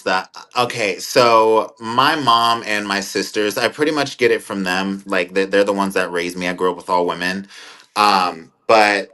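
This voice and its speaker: Deep-voiced young man, bright tone. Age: 20s. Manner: rambling, thoughtful, many fillers. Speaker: guest content creator. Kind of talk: casual interview talk.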